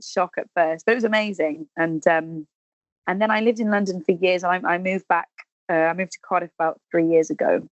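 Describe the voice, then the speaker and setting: Chatty Welsh woman with a low voice. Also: steady tone, fairly quick, no room sound, dull audio. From Wales. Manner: chatty, warm storytelling with many ums and restarts. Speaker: podcast guest, actress and singer. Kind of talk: casual interview chat.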